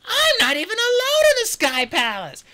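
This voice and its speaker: A man's voice: very high voice, huge pitch swings, normal speed, sharp tone, a little hiss or hum, pitched above the performer's own voice, heavily acted. A man reading aloud and voicing a stubborn, anxious young female dragon who pleads and protests. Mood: protesting.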